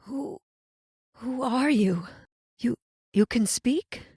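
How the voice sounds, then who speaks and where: A woman playing a despairing, fearful woman long stranded in a nightmare, halting and mournful, in a horror radio drama. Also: on the high side, darkish tone, slightly rough, no echo, clean studio sound, full character voice. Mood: dazed, fearful. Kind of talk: old-time horror radio drama acting.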